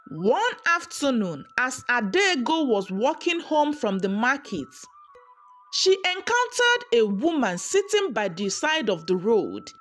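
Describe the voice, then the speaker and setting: A woman's voice: sits high, a bit bright. A woman narrating a scripted folktale as a storyteller.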